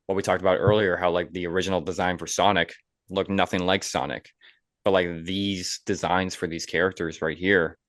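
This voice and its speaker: A young man with a low-pitched voice hosts a casual podcast. He rambles conversationally and circles back over his phrases. The sound is dry and close. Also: fairly bright tone, dry recording. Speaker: podcast host.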